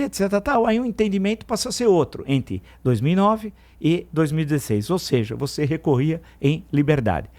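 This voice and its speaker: A man's voice: mid-range voice, darkish tone. A middle-aged man with a Brazilian Portuguese accent giving indignant, emphatic opinion commentary on a morning radio news show, often wandering off on tangents. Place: radio studio.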